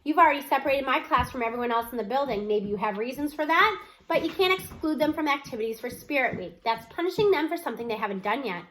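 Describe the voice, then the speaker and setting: A woman reads as a world-weary male middle school teacher from a storybook. He is blunt and stubborn, and he insists on his point without softening it. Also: high-pitched, lively intonation, fast talker, slight background noise, poor audio. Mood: indignant.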